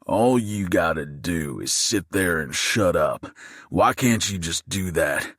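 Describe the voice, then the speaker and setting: A man voicing a menacing, desperate gunman in an audiobook, gruff and angry. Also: animated, slow pace, fairly bright tone, no echo, clean studio sound, heavily acted. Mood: exasperated. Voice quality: low, gruff. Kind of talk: audiobook character acting.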